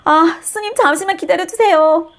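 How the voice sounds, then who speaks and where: A woman's voice: very high-pitched, moderate pace, voice pushed up. A woman voicing a devoted, shy young maiden in a storybook, polite and earnestly pleading. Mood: urgent, devoted.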